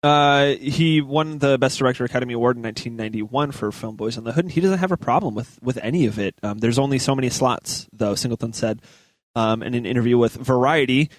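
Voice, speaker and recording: A man's voice: mid-range voice, quick pace, no room sound. A man co-hosting a talk show, casually reading out news items with offhand asides.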